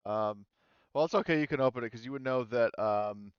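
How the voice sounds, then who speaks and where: A man's voice: medium pitch, fairly quick, clean tone, dry recording, highs cut off. A man narrating as game master, rambling with many fillers and restarts. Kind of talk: narrating a tabletop game, casual.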